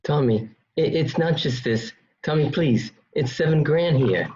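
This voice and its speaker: A man's voice: fairly high pitch, unhurried, darkish tone, lightly acted. A man playing a needy, pleading mob associate in an amateur radio play. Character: pleading mobster-world man asking for favors. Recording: dull lo-fi home recording.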